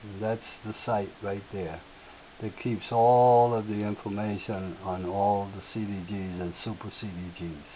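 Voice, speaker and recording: A man with a slightly raspy, fairly low voice walks through a computer program one step at a time, slow and patient. He pauses on little filler words as he goes. The sound is thin and tinny, with a faint hiss behind it. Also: fairly even intonation. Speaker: tutorial host. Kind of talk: screen-share software tutorial.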